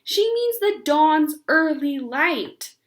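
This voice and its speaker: Lady as teasing tattletale big sister in a kids book, high pitched, mocking. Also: very animated, slow pace, full character voice. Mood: laughing, mocking. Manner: mocking, exaggerated distinctness. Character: teasing older sister, giggly tattletale girl.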